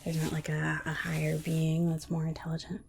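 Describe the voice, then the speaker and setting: A woman playing a patronizing yet soothing alien scientist in an ASMR roleplay, softly reassuring her human subject. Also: deep voice, monotone, normal speed, creaky, music in the background. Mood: politely condescending. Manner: patronizing, soothing, hesitant murmured repetitions. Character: condescending, sweetly reassuring female alien scientist examining a human. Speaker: ASMR performer.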